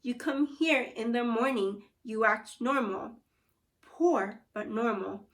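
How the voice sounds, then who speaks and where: A woman's voice: fairly high pitch, takes it slowly. A woman voicing a brusque, wisecracking cafe cook in a storybook, with folksy, clipped, teasing talk. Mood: suspicious, scolding. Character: brusque, wisecracking man who runs a barbecue cafe.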